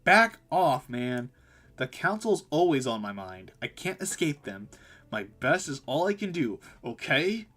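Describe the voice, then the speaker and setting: A man's voice: fairly high pitch, sing-song, measured pace, fairly bright tone, full character voice. A man voicing a stressed, snappy young woman who runs a cafe, irritable and blunt, in a visual novel. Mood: exasperated, defensive. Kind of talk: visual novel dialogue read aloud.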